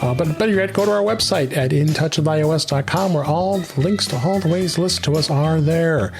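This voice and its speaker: A man's voice: on the high side, a little fast, gravelly, music in the background. A man hosting a tech podcast in a casual, conversational style. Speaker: podcast host.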